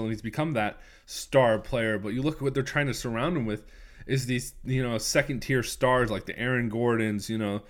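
A young man hosting his own sports podcast while fighting a cold, so his voice sounds stuffy and congested. He talks casually and rambles, restarting sentences and throwing out strong opinions. His pitch sits in an ordinary middle range for a man. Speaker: podcast host.

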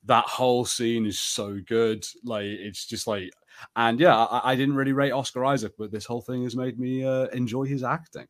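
A man co-hosting a podcast, chatting casually through a review and haltingly thinking aloud as he hedges. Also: mid-range voice, steady tone. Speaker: podcast co-host.

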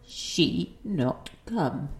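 Bewildered elderly grandmother, very slow broken speech, childlike, roomy echo, audio drama. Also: deep voice, animated, exaggerated voice. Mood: confused. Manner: halting, broken telegraphic speech. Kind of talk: audio play acting.

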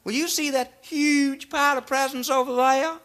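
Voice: high-pitched, fairly even intonation, normal speed, fairly bright tone. A performer voicing a little male Christmas brownie, chatty and folksy, with a Southern American accent in a comic radio sketch. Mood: confiding.

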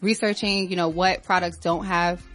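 A woman's voice: lowish voice, normal speed, faint music behind. Woman from Florida, interview guest, easygoing, talks with fillers. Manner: relaxed, laughs easily, fillers. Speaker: entrepreneur guest. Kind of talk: casual interview.

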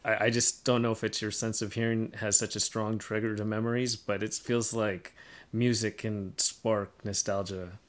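A man's voice: on the low side, little pitch movement, moderate pace. A man co-hosting a casual podcast, explaining his points step by step. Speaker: podcast host.

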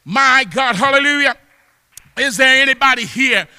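A man preaching a fervent church sermon, exhorting with repeated exclamations of praise and often rising into shouts. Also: very high-pitched, normal speed, clear, resonant tone. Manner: impassioned, often breaking into shouts. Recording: long hall reverb.

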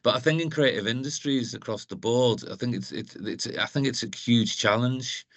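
A man's voice: medium pitch, normal speed, dry recording. A middle-aged man from Liverpool with a Liverpool accent, chatting as an interview guest, rambling with many restarts and "you know". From Liverpool, England. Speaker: guest, council events advisor. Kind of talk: casual interview chat.

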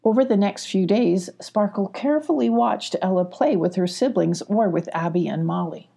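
Female children's audiobook narrator, husky and relaxed, measured pacing of phrases, expressive storytelling. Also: mid-range voice. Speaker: audiobook reader.

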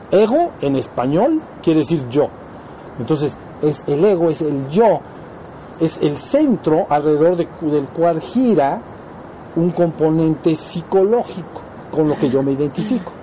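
Mellow, higher-voiced Mexican man teaching. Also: expressive, unhurried, a little hiss or hum, low-quality recording. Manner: explanatory, often checks understanding with short questions. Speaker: spiritual teacher. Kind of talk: informal spiritual lecture to students.

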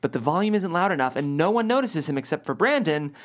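A woman with a low voice reads stage directions from a script. Her narration is highly animated, moving freely up and down as at a comedy table read. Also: fast talker.

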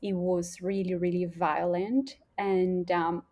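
A woman in her thirties with a Colombian accent speaks in a slightly low-pitched voice. She tells her own story as a podcast guest, reflectively, often pausing to find the next word. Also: flat delivery, a little mellow, dull audio. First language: Spanish. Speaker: podcast guest, holistic coach. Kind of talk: personal storytelling in a podcast conversation.

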